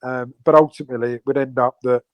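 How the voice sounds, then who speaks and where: A man's voice: mid-range voice, fairly even intonation, fast talker, no echo, dull audio. A man from the UK, co-owner of a crypto project, explaining it at length in an online Q&A with many fillers and restarts. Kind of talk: AMA guest explaining a project.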